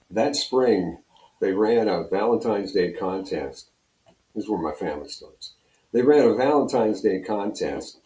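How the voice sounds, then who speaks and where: A man's voice: deep voice, warm tone. An older American man from Pennsylvania, a radio personality telling stories casually in an interview in a rambling, conversational way. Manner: rambling, conversational, repeats you know. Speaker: radio program director and personality, interview guest. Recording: roomy echo, distant from mic.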